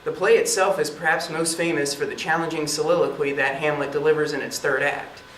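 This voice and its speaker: Male classic-film TV presenter, played by an amateur, higher-pitched, formal scripted delivery, distant noisy room. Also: steady tone, brisk, fairly bright tone, close to an everyday voice. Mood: formal, earnest. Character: TV classic-movie host, male, formal and earnest. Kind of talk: scripted TV movie introduction. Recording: distant, echoey room with background noise.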